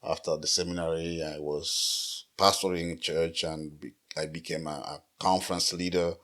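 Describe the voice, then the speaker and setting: This is a middle-aged pastor from Congo with a very low voice, speaking as an interview guest. He tells his story earnestly and repeats words when he restarts a sentence. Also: little pitch movement, normal speed, fairly bright tone. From Democratic Republic of the Congo. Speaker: pastor and nonprofit founder.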